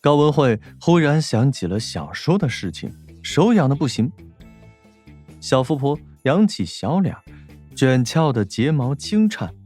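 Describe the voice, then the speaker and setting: A man narrating a scripted audiobook in an expressive storytelling manner. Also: mid-range voice, measured pace, soft background music.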